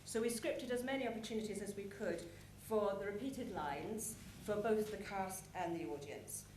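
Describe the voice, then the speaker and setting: A woman speaks as a presenter at a plenary session in a large hall. Her mid-pitched voice moves through explanatory phrases with deliberate pauses. The sound is roomy, with noticeable background noise. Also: darkish tone. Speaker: conference presenter. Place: large conference hall with an audience. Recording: echoey conference hall, clear background noise.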